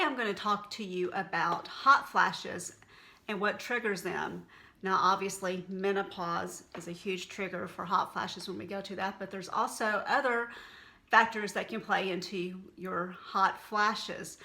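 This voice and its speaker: A woman's voice: medium pitch, vocal fry, sharp tone. A middle-aged woman with a Texan accent, talking candidly and casually to camera as a wellness blogger. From Texas. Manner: chatty, candid and folksy. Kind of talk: unscripted casual monologue to camera.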